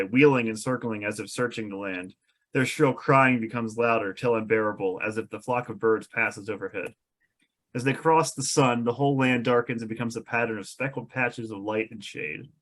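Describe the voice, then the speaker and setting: Mid-pitched man reading stage directions. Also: normal speed, a bit bright. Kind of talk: scripted narration.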